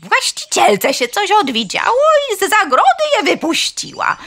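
A woman's voice: very high-pitched, wildly swooping pitch, normal speed, bright, forceful tone, full character voice. A woman playing a gossipy, frightened farmyard hen in a children's audio play, melodramatic and full of stuttering clucks. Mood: exasperated.

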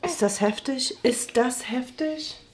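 Chatty vlogging mother, slightly high voice. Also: slow pace, noisy background. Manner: chatty, addresses viewers directly, many fillers. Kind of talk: casual vlog talk to the camera.

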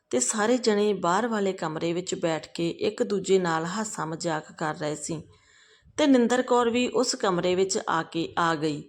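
Woman narrating a scripted family story. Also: fairly low pitch.

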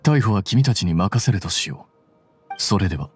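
Aloof, low-voiced young scholar. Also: male voice, lively intonation, husky. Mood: cool, detached. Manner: detached, blunt, measured. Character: cool, aloof young male scholar, bluntly sardonic. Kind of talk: anime game voice acting. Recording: soft music behind.

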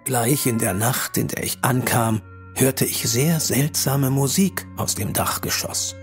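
A man's voice: medium pitch, lively intonation, music in the background. An actor playing a poor, polite young student who tells and acts out his story in an audio drama. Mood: reflective narration. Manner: measured when narrating, hesitant and stammering in dialogue. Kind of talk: audio drama narration and acting.

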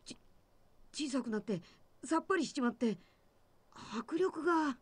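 A small, cheeky male anime fighter with a high voice and quick delivery. The style is animated-game voice acting. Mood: startled, mocking.

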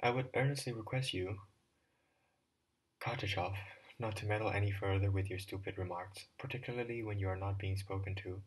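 A man's voice: lowish voice, steady tone, fairly quick, slightly rough, poor audio. A man reading a proud, irritable teenage boy. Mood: hushed. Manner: hushed, clipped, irritable.

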